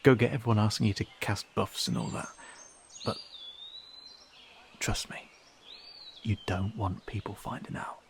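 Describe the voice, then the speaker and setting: A man acts out a young male cat-person from a fantasy audio drama, cautious and casual as he guides a newcomer. The voice has a gravelly creak at a middling male pitch. He takes his time, with hesitant asides, over faint background noise. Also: fairly even intonation, unhurried, long pauses. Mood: cautious, warning. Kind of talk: fantasy audio roleplay drama, speaking to the listener.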